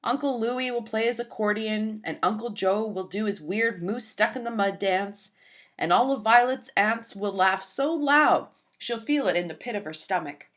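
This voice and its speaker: A woman reading a children's picture book aloud in a gentle, expressive storytelling manner. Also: mid-range voice, normal speed.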